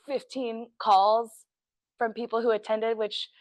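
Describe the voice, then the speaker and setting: A woman, an estate planning attorney giving a friendly educational talk, a little hoarse from allergies. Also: fairly high pitch, little pitch movement, slow pace, no echo. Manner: friendly, self-deprecating, chatty with fillers. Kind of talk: educational presentation to a group. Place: meeting room.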